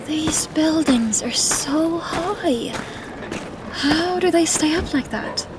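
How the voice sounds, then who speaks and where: Nervous, high-voiced young thief. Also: female voice, takes it slowly, heavy background noise. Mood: relieved, awed. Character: nervous, evasive young female thief on the run. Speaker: voice actor. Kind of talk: fantasy audio drama acting.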